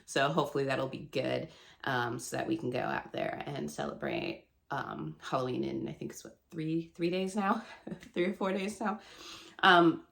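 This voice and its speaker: Book vlogger, woman, 30s, low voice, gravelly and nasal with a cold, chatty. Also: big pitch swings, moderate pace, a bit bright. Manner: chatty, rambling, laughs often. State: hoarse and stuffy from a cold. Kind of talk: casual chat to camera.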